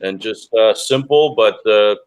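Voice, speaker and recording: A man's voice: lowish voice, a little slow, clean tone, poor audio. A man, son of Cuban parents and a beard company owner, talking casually on a group video call and rambling with many restarts. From United States, Cuban parents.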